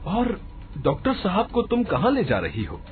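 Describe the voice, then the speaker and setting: Casting: frightened, excitable hospital man acted by a man, highish voice with wide swings, urgent exclamations. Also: normal speed. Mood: startled. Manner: urgent, exclaiming, repeating words. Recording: tinny phone-like sound with music behind.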